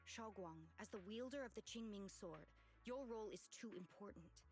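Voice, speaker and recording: An actress voicing a caring, protective young female martial disciple in an anime game, gentle, earnest and reassuring. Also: mid-range voice, normal speed, soft background music, lightly acted.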